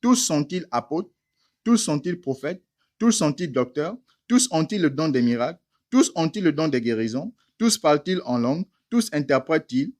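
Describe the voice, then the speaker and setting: A man's voice: on the high side, big pitch swings, moderate pace, a little mellow. A man preaching a teaching sermon, emphatic and firing rhetorical questions at listeners he calls beloved brothers.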